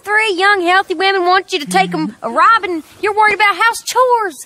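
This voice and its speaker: Female game role: brash Western gang girl, tinge, forceful and piercingly high. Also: moderate pace, slight background noise. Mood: mocking. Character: brash, defiant young outlaw woman of Old West. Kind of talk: video game cutscene acting.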